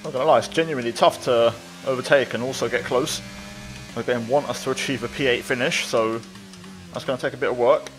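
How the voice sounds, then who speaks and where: A man's voice: mid-range voice, fairly bright tone. A man giving casual, running commentary as he plays a racing game. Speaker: gaming video creator.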